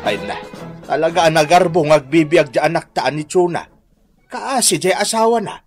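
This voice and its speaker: A man playing an older, disapproving father in an Ilocano radio comedy drama, speaking in a measured way with an Ilocano accent. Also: on the high side, over music, natural voice. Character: older father, grumbling about his spendthrift daughter.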